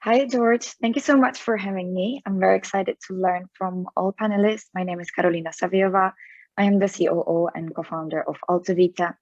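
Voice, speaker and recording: A woman's voice: mid-range voice, fairly even intonation, fairly quick, darkish tone, no echo. A woman introducing herself as a panelist over a video call, friendly and eager. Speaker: panelist, company co-founder. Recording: dull, lo-fi call audio.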